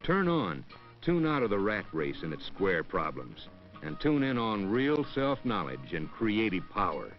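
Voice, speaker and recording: A man's voice: lowish voice, big pitch swings, unhurried. A man reading scripted narration for a 1960s educational film in a wry storytelling way, echoing hip slang. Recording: dull old 1960s film soundtrack with music. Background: background music.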